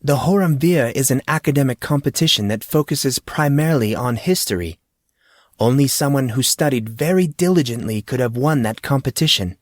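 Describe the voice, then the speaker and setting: A man's voice: medium pitch, expressive, normal speed, no echo, studio quality, pitched above the performer's own voice, close to an everyday voice. Man playing a precise, scholarly history teacher. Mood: pleased, formal. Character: formal, pedantic, genial male history teacher.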